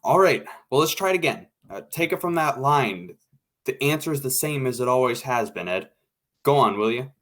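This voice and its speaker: A man's voice: medium pitch, expressive, normal speed, fairly bright tone, natural voice. A man acting in an old-time radio drama as an impatient, sarcastic, slangy radio director.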